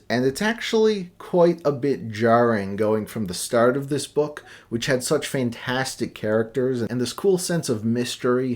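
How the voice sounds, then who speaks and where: Man reviewing books with very animated pitch. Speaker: book reviewer and channel host. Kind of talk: casual video book review.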